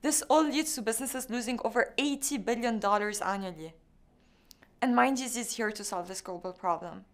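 Young woman, from Lebanon, higher voice, measured, rehearsed pitch, lapel mic. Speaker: startup founder. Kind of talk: scripted pitch.

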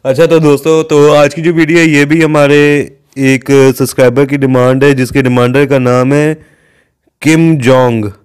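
A man's voice: mid-range voice, fairly even intonation, normal speed, a little mellow, clear, resonant tone. A young man hosting a reaction video from a living room sofa, chatting and teasing casually. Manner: joking, teasing banter.

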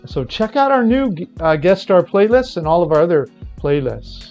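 A man hosting a travel channel, explaining a playlist step by step in a relaxed way. His voice sits a little high, and soft music plays under it. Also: moderate pace, dull audio. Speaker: host. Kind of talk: interview and channel promo.

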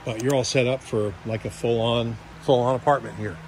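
A man's voice: medium pitch, steady tone, fairly quick, darkish tone, slight background noise, low-quality recording. A man working as a real estate agent, talking casually and jokily through an unscripted walkthrough tour. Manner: folksy, offhand, jokey.

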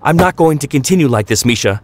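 A man's voice: big pitch swings, normal speed, background noise, natural voice. Give me a furious, fed-up mother, voiced by a man who rants shrilly. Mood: ranting. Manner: shrill, exasperated ranting. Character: furious overwhelmed mother of two, woman.

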